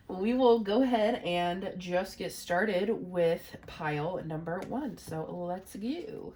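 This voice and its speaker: A woman's voice: fairly low pitch, slow pace, darkish tone, slight background noise. A woman with a stuffy, congested voice from a cold, giving a casual, rambling tarot reading to her viewers with lots of fillers. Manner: chatty, rambling, many "you know" and "um" fillers. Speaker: tarot reader.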